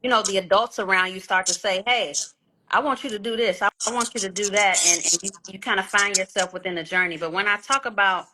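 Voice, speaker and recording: A woman's voice: medium pitch, steady tone, a little fast, pushed and bright, rough recording. A woman in her thirties, an R&B singer talking candidly in a casual interview, swearing freely and often repeating words. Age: 30s. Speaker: guest R&B singer and songwriter.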